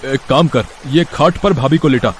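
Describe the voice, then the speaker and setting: A man's voice: medium pitch, moderate pace, slight background noise, lightly acted. A man voicing a helpful village neighbour, urgent and commanding, in a moral-story cartoon.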